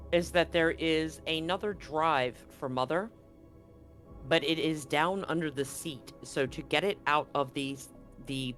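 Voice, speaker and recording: A man's voice: on the high side, a bit bright. A man narrating a tabletop game as game master in an informal, joking way.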